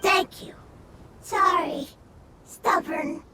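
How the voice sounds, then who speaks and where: Very high, halting little spirit creature. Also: female voice, steady tone, background noise, exaggerated voice. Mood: earnest. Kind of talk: scripted video game dialogue. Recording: loud background music under the voice.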